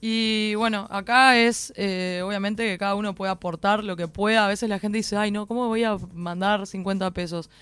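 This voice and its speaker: Chatty Uruguayan woman, smooth voice. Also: mid-range voice, moderate pace, few pauses, dry recording. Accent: Uruguayan Spanish. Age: young adult. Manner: relaxed, chatty, with fillers and restarts. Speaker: rally driver guest. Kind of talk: radio interview.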